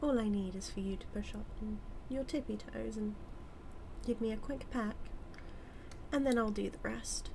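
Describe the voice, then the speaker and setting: A British-accented woman playing a smug, manipulative evil magician in a scripted audio roleplay, teasing and taunting with deliberate, drawn-out phrasing. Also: on the high side, creaky, background noise. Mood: coolly persuasive.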